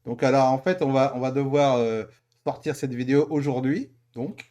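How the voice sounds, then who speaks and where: A man's voice: mid-range voice, steady tone, normal speed, mellow. A man hosting a casual online interview show, speaking in a hesitant, rambling way and repeating his phrases. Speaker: host.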